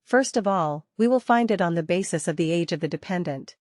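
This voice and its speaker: A woman working as a software trainer, teaching interactively step by step, repeating key points and posing questions to learners. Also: on the low side, animated, a little fast, no room sound, studio quality.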